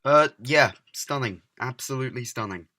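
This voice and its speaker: A man playing a distracted, wry, brooding time traveller in an audio drama, speaking haltingly. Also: mid-range voice, pushed and bright. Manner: halting, hesitant, full of uhs.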